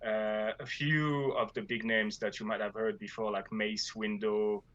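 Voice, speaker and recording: Man with a French accent, casual interview guest, halting delivery, dry and slightly muffled sound. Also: on the low side, flat delivery, a bit bright, no echo. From France. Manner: hesitant, many fillers and restarts. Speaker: guild leader.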